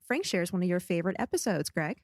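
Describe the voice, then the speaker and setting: Sniffly woman podcast co-host, lively. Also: lowish voice, fast talker, no room sound, studio quality. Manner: friendly, reads listener reviews aloud. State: a little sniffly. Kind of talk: casual podcast chat.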